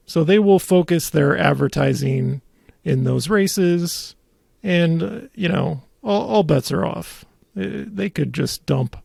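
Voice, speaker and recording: A man's voice: on the high side, creaky, darkish tone, dry recording, studio quality. An American man talking casually as a talk show host about local politics, with a wry, sardonic manner.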